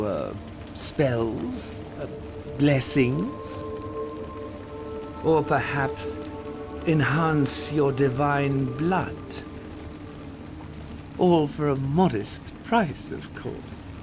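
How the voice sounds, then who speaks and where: Game witch merchant: sly, coy, purring, slow, highly melodic delivery. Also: over music, heavily acted. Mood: sly, flattering. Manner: coy, purring, drawn-out, flattering. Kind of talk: theatrical video game acting.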